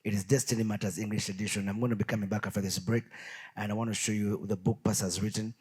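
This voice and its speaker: A pastor hosting a studio talk show speaks in a low, husky voice. He talks in a measured way and often repeats words as he gathers his thoughts. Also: level tone, a little mellow.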